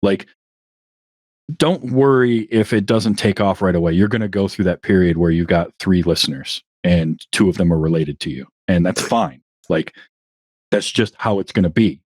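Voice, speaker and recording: Guy chatting easy on a podcast, kinda low voice, dry close sound. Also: moderate pace, dry recording. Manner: relaxed, chatty, frequent fillers. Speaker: podcast guest. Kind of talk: casual interview chat.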